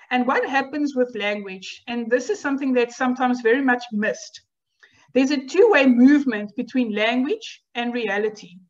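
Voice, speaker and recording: A woman whose first language is Afrikaans, giving a university lecture in a measured, explanatory way with rhetorical questions. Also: fairly high pitch. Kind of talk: academic lecture over slides. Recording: dull lo-fi online lecture audio.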